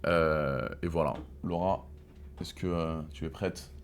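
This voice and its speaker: A man with a very low voice runs a casual live stream. He talks in a relaxed, halting way, restarting sentences and dropping crude slang. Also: slight background noise. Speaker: streamer hosting a guest.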